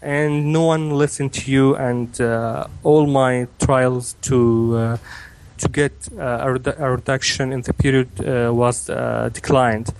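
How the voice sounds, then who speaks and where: A man in his twenties, from Egypt and raised in Kuwait, answering interview questions hesitantly with many pauses and restarts. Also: mid-range voice, fairly even intonation, vocal fry, darkish tone, clear, resonant tone, low-quality recording. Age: 20s. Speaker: interview guest. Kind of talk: remote interview.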